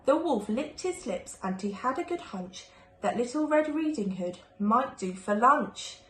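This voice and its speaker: A woman's voice: on the high side, lively intonation, unhurried, fairly bright tone. A woman reading a rhyming children's storybook aloud expressively, like a primary school teacher. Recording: echoey room, faint background noise.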